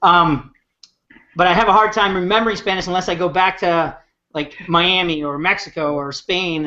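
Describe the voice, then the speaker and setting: A man hosts an online hangout over a video call, talking in a relaxed, meandering way. His voice is high for a man. The sound is dull and lo-fi. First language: English. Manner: relaxed, conversational, rambling. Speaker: host.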